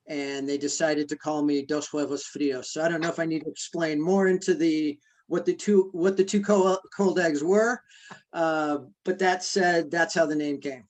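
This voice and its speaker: A man answering interview questions in a halting, reflective way, with many fillers and restarts. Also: on the high side. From United States. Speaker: guest, ultrarunner. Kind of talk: casual interview conversation.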